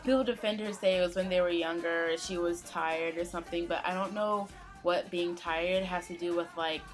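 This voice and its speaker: A young American woman co-hosting a casual fan-channel question-and-answer video, talking in a chatty, animated way. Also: on the low side, over music. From United States. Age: young adult. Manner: chatty, animated, quick when opinionated. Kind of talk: casual Q&A chat.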